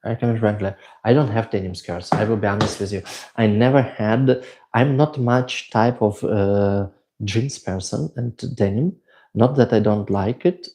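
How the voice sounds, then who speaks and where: Mellow-voiced man with a German accent. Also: on the low side, moderate pace. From Switzerland. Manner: chatty, reads out chat questions and answers at length. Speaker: livestream host answering viewer questions. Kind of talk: casual live Q&A chat.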